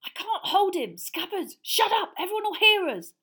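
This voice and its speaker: Give me a woman putting on an exasperated teenage boy, loud and frantic, in a storybook reading. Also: very high voice, sing-song, a little slow, voice pushed up, heavily acted.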